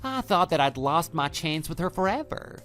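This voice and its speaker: Smug, brazen other man for audio drama, male actor: dismissive, condescending, very animated pitch, faint music underneath. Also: moderate pace. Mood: gloating. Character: smug, brazen male lover taunting a betrayed husband. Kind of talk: scripted texting-story radio drama.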